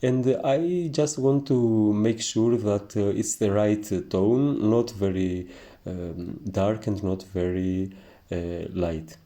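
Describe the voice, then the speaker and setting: A man with a thick Greek accent talks through a painting lesson at an unhurried pace. His voice is low and mellow, and he hesitates often with soft fillers. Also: steady tone. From Greece. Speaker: painting teacher. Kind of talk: relaxed narrated painting tutorial.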